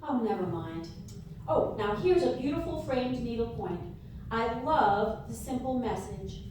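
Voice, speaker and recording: Actress as polite woman radio interviewer, exclamatory, curious, fairly high voice, live comedy play, heavy echo. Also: animated, unhurried, darkish tone, a little hiss or hum. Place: live fundraiser event room before an audience. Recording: echoey live hall, distant mic.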